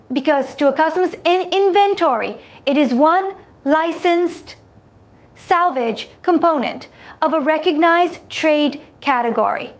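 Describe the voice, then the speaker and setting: A woman playing a calm, exacting alien trader in a science fiction story, speaking formally with careful, deliberate phrasing. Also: high voice, full character voice.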